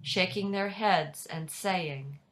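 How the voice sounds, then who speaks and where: A woman reading scripture aloud as a dramatic narrator, measured and deliberate, at home on a video call. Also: on the low side, slight background noise, poor audio.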